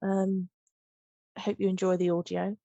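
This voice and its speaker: A woman hosting a casual behind-the-scenes chat, gushing and giggly as she retells things in an animated way. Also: medium pitch, moderate pace, creaky, no room sound, muffled recording. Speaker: podcast host and audio drama producer.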